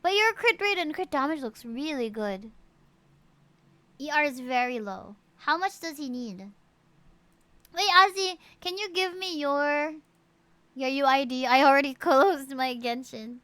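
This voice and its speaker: A woman live-streaming a game, chatting casually with viewers and reading out stats in an animated way, with frequent exclamations and asides. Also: high-pitched, a little slow, no room sound. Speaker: live streamer reviewing players' game characters.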